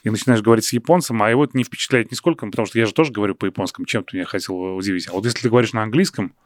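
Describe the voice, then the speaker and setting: A man who is a guest on a casual podcast, chiming in with brisk asides and comments. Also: on the low side, no echo, clean studio sound. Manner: brisk interjections and quick asides. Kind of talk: casual conversational podcast chat.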